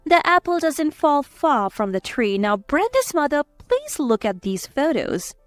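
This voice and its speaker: A woman's voice: sits high, sing-song, unhurried, dry recording, soft background music, pitched above the performer's own voice. A woman voicing a confident, protective aunt who speaks in a pointed, composed way in a dramatized story. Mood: pointed, smug. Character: confident, cutting, protective aunt exposing cheaters. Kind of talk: scripted story dialogue.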